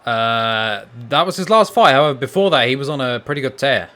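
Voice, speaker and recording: A young man casually commentating a fight video game, excitable and breaking into shouts at big moments. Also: medium pitch, fairly quick.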